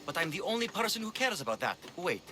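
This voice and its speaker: A man's voice: sits high, big pitch swings, fast, noisy background. A man playing an overworked, ruthless pirate lieutenant in a video game, blunt and world-weary. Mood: harried. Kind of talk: video game cutscene acting.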